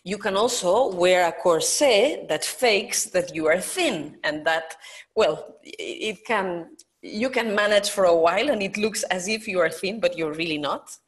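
A woman's voice: mid-range voice, lively intonation, unhurried, slightly rough. A woman who speaks English with a Spanish accent, her first language being Spanish, explaining her work earnestly as a guest in an online interview over a video call, with many hesitant fillers. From Spain. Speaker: guest expert.

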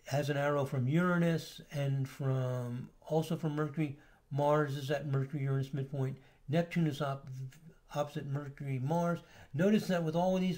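A man talks through a slideshow lecture in an easygoing, explanatory way, stopping here and there on small fillers. His voice sits somewhat high for a man yet sounds warm and mellow rather than bright. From United States. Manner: relaxed, explanatory, with filler words. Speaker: astrology lecturer.

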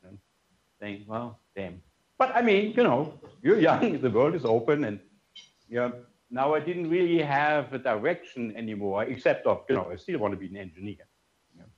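An older man with a German accent lectures in a roomy, slightly muffled hall, his pitch rising and falling in lively swings. He tells stories casually, dropping in "you know" and "yeah" as he goes. His voice sits at a middle pitch for a man. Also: normal speed. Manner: conversational, frequent "you know" and "yeah" tags. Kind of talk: memorial lecture with personal storytelling. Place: conference room with audience. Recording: roomy, slightly dull lecture room sound.

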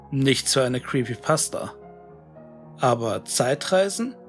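A man narrating a scripted horror monologue in a musing, deliberate, brooding manner with dramatic pauses. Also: a little high. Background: music.

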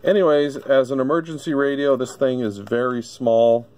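A man casually demonstrating a product unscripted, thinking aloud in a halting way. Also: medium pitch, steady tone, darkish tone, lo-fi sound, a little hiss or hum. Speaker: gadget reviewer. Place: indoor electronics workbench.

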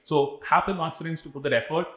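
A man in his forties with an Indian English accent, giving career advice to camera. He talks quickly and keeps adding "right?" to his points. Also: fairly high pitch, steady tone, fairly bright tone, echoey room. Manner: fast, adds frequent "right?" tags. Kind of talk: explanatory talk to camera. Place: studio set styled as a cafe.

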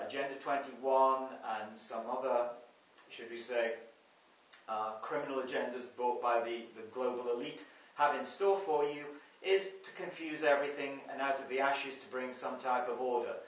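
A middle-aged man talking to camera from his living room sofa, earnest and measured, with many fillers and restarts. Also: mid-range voice, slight background noise. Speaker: video broadcaster. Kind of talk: scripted-free monologue to camera. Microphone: camera mic from across the room. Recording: echoey room, voice heard from a distance.

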